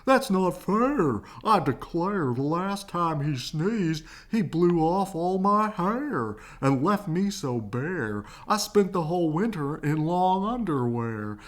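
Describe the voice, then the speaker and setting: A woman voicing an indignant, sorrowful storybook bear, complaining emphatically with drawn-out words. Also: on the low side, lively intonation, voice pushed up.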